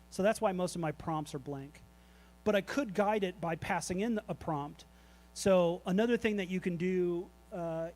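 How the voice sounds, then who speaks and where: A man with a high-set voice talks loosely and candidly in front of a meetup crowd. He answers questions with fillers and restarts, in a relaxed, unscripted way. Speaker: invited artist answering audience questions. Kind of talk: casual presentation Q&A. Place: event space at a meetup.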